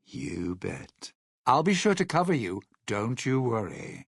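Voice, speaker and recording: An actor playing a sly, world-weary lounge singer in a video game, talking in wry showman's patter and dated slang with a gravelly, husky voice. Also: mid-range voice, very animated, slow pace, no room sound, studio quality, full character voice. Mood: sly, sardonic. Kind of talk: video game companion voice lines.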